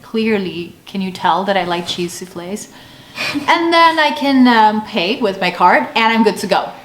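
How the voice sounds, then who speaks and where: A woman's voice: mid-range voice, lively intonation, unhurried, fairly bright tone. A woman with an American accent chatting expressively in a casual explanatory vlog. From United States. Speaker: video creator. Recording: roomy echo, faint background noise.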